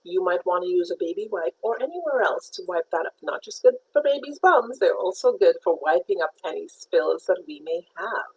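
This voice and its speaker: A woman's voice: lowish voice, expressive, fairly quick, few pauses, darkish tone, breathy edge, poor audio. A middle-aged woman from Trinidad teaching a live painting lesson, explaining supplies step by step and greeting viewers by name. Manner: patient, step-by-step instruction. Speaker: art teacher.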